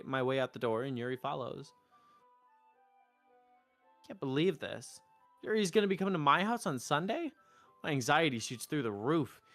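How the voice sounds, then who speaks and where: A man's voice: a little high, a bit bright. Man streaming a visual novel. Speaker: streamer, narrator and player character. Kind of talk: voiced visual novel playthrough. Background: music.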